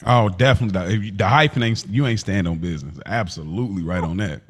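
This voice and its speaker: A man's voice: low voice, quick pace, breathy edge. A man talking casually as a podcast co-host, slangy and emphatic, often repeating phrases to drive his point. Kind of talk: casual conversational podcast chat.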